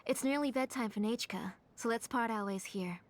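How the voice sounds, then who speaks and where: A woman's voice: fairly high pitch, brisk, a little hiss or hum, close to an everyday voice. A woman playing a protective, politely firm mother in an anime game. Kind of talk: anime game dialogue acting.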